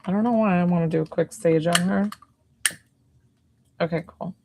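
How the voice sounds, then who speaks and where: A woman in her forties, an intuitive healer, chatting casually on a live stream, talking softly with a slightly hoarse voice and many fillers. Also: on the low side, moderate pace, background noise.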